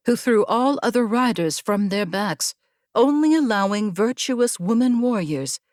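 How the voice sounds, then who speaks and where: A woman reading a scripted story as an audiobook narrator, with measured, expressive storytelling. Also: mid-range voice, no room sound, studio quality. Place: close, dry studio recording.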